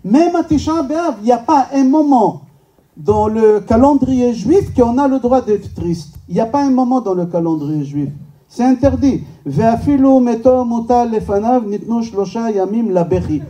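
High, piercingly bright male rabbi, distant mic. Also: expressive, normal speed, muffled recording. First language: Hebrew. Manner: rhetorical questions, emphatic repetition, joking. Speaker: rabbi giving a lecture. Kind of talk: informal religious lecture to a live audience. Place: medium-sized indoor synagogue function room.

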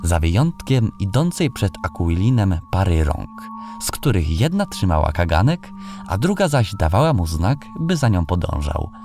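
A man reading a scripted fairy tale as an audiobook narrator, in an expressive storytelling manner. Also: sits low, normal speed. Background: background music.

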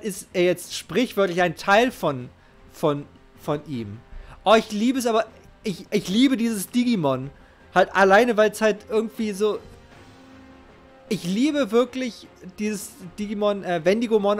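High-pitched male game streamer, emphatic. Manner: emphatic, emotionally invested, repeats phrases. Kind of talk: casual live let's play commentary. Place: indoor home streaming setup. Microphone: close microphone, headset worn. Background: game music.

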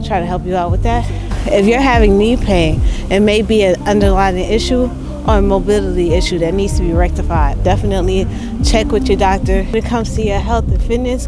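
Young woman fitness coach talking thru squats outside in a park, loud music behind her. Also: medium pitch. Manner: encouraging, demonstrating exercises while talking. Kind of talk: outdoor workout instruction. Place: outdoor park road. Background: loud background music.

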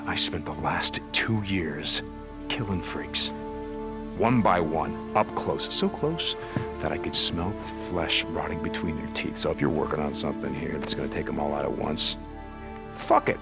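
Gravelly, low-voiced American outlaw biker. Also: male voice, lively intonation, music in the background, exaggerated voice. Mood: bitter. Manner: terse, halting, sarcastic. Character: gruff, sardonic male outlaw biker serving as corporal. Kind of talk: video game cutscene acting.

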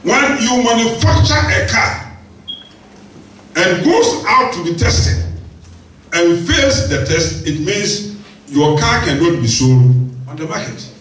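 Middle-aged male preacher, handheld mic, slow and emphatic, calling out to the congregation; very echoey hall, mic a bit far off. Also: high-pitched, sing-song, fairly bright tone, slight background noise. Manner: emphatic, repeats key phrases, asks the congregation questions. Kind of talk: sermon. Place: large church hall with seated congregation. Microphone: handheld microphone.

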